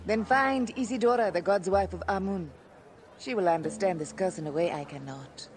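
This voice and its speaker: An actor playing a guarded, foreboding underworld informant in a video game, talking in clipped, ominous phrases. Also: male voice, high-pitched, moderate pace, a crowd in the background, lightly acted. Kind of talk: dramatic video game acting. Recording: loud background music.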